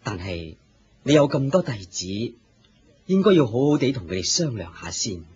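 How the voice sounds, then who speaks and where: A man with a Hong Kong Cantonese accent playing a wise, authoritative spiritual teacher, measured and didactic, in a radio drama. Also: mid-range voice, very animated, a little mellow, slightly rough. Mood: calm, instructive. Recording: muffled lo-fi radio drama recording.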